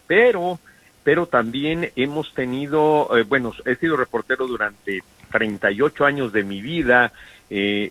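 A middle-aged man from Mexico, co-hosting a radio talk show, speaks warmly and earnestly, rambling a little with frequent fillers. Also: mid-range voice, dry recording, low-quality recording. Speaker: radio co-host and reporter. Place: radio studio. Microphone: studio microphone.

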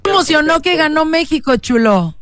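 A woman's voice: high-pitched, lively intonation, moderate pace, no echo. A woman co-hosting a radio show in casual, playful banter. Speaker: radio co-host.

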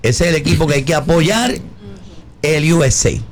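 A man's voice: on the high side, animated, moderate pace, a little hiss or hum. A man from Puerto Rico hosting a talk show, opinionated and emphatic, repeating himself and addressing the audience directly. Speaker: radio show host.

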